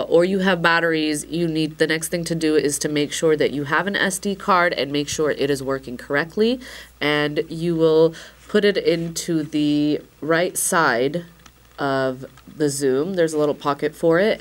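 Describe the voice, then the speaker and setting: Slightly hoarse woman giving a casual demo. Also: sits low. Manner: chatty, relaxed, with joking asides. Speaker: product demo presenter. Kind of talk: casual how-to demonstration.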